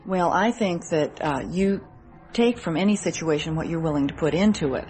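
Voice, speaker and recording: Woman, thirties, Midwestern American, low register, earnest and articulate radio interview guest. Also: moderate pace, a little mellow. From Midwest United States. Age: 30s. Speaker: interview guest, military wife. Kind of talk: radio interview, answering questions.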